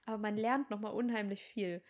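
A young woman from Germany chats easily on a podcast, laughing often and acting out quotes as she goes. Her voice sits at a middle pitch for a woman, with an everyday, relaxed delivery. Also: brisk. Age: young adult. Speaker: podcast co-host. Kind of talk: casual chat.

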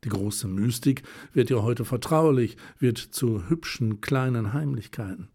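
A man voicing an urbane gentleman with a lightly ironic, wry manner, in an audiobook reading. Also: mid-range voice, measured pace, husky.

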